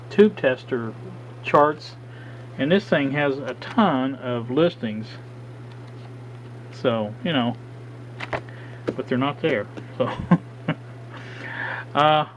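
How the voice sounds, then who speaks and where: Man thinking aloud over muffled noise. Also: mid-range voice. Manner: halting, thinks aloud with frequent uh. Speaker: hobbyist radio restorer. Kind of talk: casual hands-on demo narration. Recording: dull lo-fi with steady background noise.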